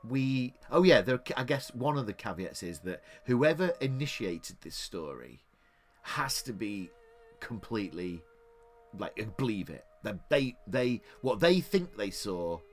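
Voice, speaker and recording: A middle-aged man from England co-hosts a relaxed podcast, thinking out loud and restarting his sentences. His voice sits low but moves around a lot in pitch. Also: a little slow. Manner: rambling, restarts often, laughs easily. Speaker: podcast co-host. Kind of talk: casual chat.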